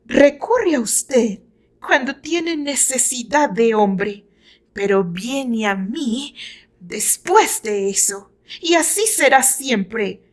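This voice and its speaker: A woman voicing a frail but bitter, defiant young-sounding rival in an audio romance drama, with taunting, drawn-out phrasing. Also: fairly high pitch, lively intonation, pitched above the performer's own voice.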